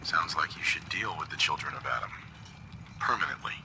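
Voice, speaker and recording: A man's voice: a bass voice, a little fast, pushed and bright, slight background noise, full character voice, poor audio. A man acting a direct, inquisitive wanderer, the hero of a video game, asking questions plainly. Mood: pointed.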